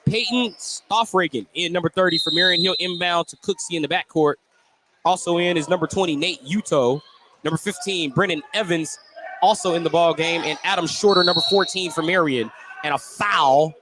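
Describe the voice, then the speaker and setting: High-pitched male basketball play-by-play announcer. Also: moderate pace, sharp tone. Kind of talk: live sports commentary. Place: indoor basketball gym. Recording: light gym noise behind the commentary. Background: gym ambience.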